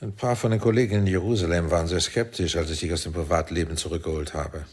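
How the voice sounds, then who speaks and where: An actor playing a commanding, sardonic older intelligence chief in a radio drama, probing and deliberate. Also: male voice, low-pitched, steady tone, breathy edge. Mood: paternal, sly. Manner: deliberate, probing, swinging from soft to barking. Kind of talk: German radio drama acting.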